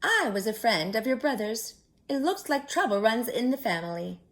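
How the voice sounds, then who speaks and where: A woman's voice: a little high, sing-song, moderate pace, fairly bright tone. A woman voicing a devious male fox who coaxes his prey in a crooning way in a children's storybook. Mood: sly coaxing. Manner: crooning, smooth-talking.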